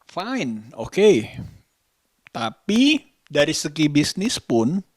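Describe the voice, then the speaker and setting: Man, slow deliberate delivery, searching for words, casual opinion vlog, dry close sound. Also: on the high side, big pitch swings, dry recording. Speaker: vlogger, host.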